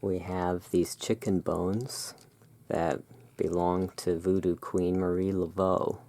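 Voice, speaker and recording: A very low-pitched, creaky voice in a soft-spoken ASMR roleplay. The speaker plays a courteous gothic emporium owner, presenting wares in a hushed, deliberate manner. The delivery is very slow and unhurried. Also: little pitch movement.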